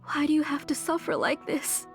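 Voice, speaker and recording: A woman's voice: high voice, steady tone, moderate pace, faint music behind. A woman voicing an older, doting mother in a video game, with a fussing, motherly manner. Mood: grief-stricken. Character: grieving, doting older mother, retired forger.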